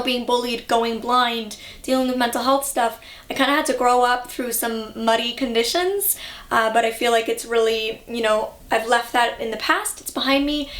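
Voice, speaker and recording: A young woman from Canada talks to camera in a relaxed, reflective way, pausing on ums and likes. Her voice sits a little high. The room around her rings with noticeable echo. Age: young adult. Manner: chatty, reflective, many fillers. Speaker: vlogger. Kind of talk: casual vlog talk.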